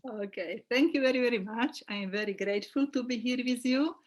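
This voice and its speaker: A woman's voice: a little high, brisk. A middle-aged woman from Slovakia with a Slovak accent, a holistic well-being coach talking earnestly as a guest in an online interview. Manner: earnest, with small restarts and fillers. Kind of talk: casual interview chat.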